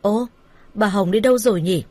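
A woman voicing an excitable, nosy young woman who exclaims and scolds in an audio story. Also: medium pitch, moderate pace, dry recording, natural voice. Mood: curious.

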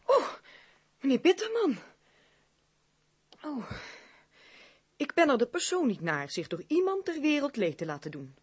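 A woman's voice: sits high, huge pitch swings, measured pace, pauses often, exaggerated voice. A woman acting a haughty, gossipy lady in a radio play, with an affected, pompous way of talking. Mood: offended, haughty.

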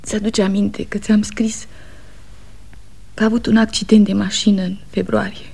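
A woman's voice: medium pitch, level tone, creaky, mellow, slightly rough. An actress playing a weary, fiercely loyal aging wife and mother, pleading and reproachful, in 1960s radio theatre style. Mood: quiet sorrow.

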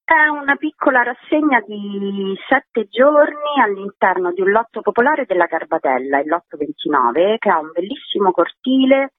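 A woman's voice: mid-range voice, lively intonation, normal speed, hardly any pauses, no room sound. A woman explaining things as an organiser and guest in a radio interview over the phone. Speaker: theatre festival organiser, guest. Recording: narrow, tinny phone line.

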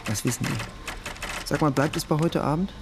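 An actor playing a young, lovestruck police detective who is easily irritated, in a naturalistic German radio play. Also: male voice, on the high side, moderate pace, music in the background. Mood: tender, teasing. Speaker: actor in a radio crime drama. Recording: studio radio drama with clearly audible background ambience.

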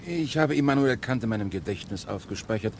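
An actor playing an earnest old ethics professor, formal and lecturing, in a German radio play. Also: male voice, mid-range voice, expressive, brisk, slight background noise, natural voice. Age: older.

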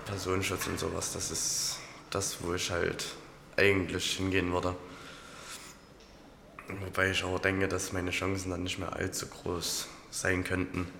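Deep gravelly man in an echoey space. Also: monotone, a little slow. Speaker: interviewee. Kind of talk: hesitant spontaneous interview answer. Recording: echoey hall, some background noise.

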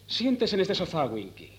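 An actor playing a smooth, mocking young rival in a 1970s radio play, measured and condescending. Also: male voice, high-pitched, full character voice.

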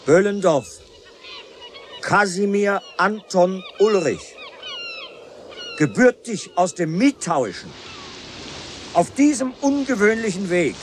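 An actor playing an official who reads out a notice in a loud, formal, deliberate proclamation for a radio drama. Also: male voice, sits high, very animated, a little hiss or hum, full character voice. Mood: officious, proclaiming. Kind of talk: German radio drama acting.

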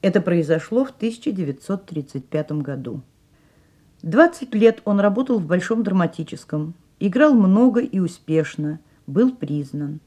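A woman's voice: lowish voice, expressive, normal speed, dark tone. A woman hosting a radio programme as a theatre critic, delivering scripted narration.

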